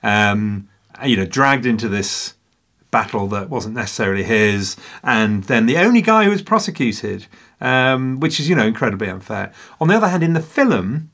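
A man's voice: medium pitch, fairly bright tone. Wry man with dry humour. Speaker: film podcast co-host. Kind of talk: casual film review chat.

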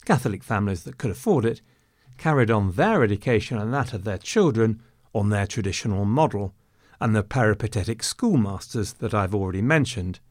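A man's voice: on the low side, expressive, fairly quick, darkish tone, no echo, clean studio sound. A man narrating history in a conversational way, with wry asides.

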